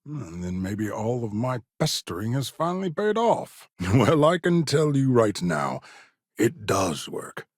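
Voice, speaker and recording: A man's voice: medium pitch, big pitch swings, measured pace, husky, no echo, clean studio sound. An actor playing an eccentric, condescending but eager male scientist in a space video game, speaking in a fussy, wry, pedantic way. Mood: eager, upbeat. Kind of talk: video game character dialogue.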